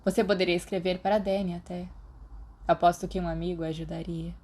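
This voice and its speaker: A woman with a Brazilian Portuguese accent voicing a lively, teasing teenage girl in a podfic reading. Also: on the low side, brisk. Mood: reassuring.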